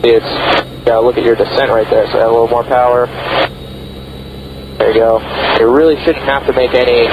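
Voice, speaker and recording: A man's voice: medium pitch, steady tone, a little fast, long pauses. A man giving flight instruction from a cockpit, patiently coaching a pilot step by step with encouragement. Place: small aircraft cockpit in flight. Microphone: aviation headset intercom. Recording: dull intercom audio, loud aircraft engine noise.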